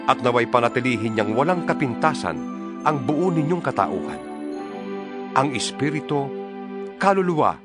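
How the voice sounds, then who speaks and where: Dramatized scripture: male actor as exhorting apostle, solemn and deliberate yet highly expressive in pitch, music underneath. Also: lightly acted. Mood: earnest, exhorting. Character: apostle writing earnest, exhorting letters to fellow believers. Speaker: narrator of a dramatized Bible.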